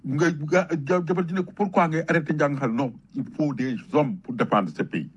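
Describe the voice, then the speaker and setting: A man with a Senegalese accent speaks in a husky, slightly high voice. He delivers an impassioned patriotic talk like a storyteller, leaning on repetition and emphatic, rhetorical phrasing. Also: fairly quick, muffled recording. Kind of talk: impassioned patriotic public talk, switching between French and Wolof.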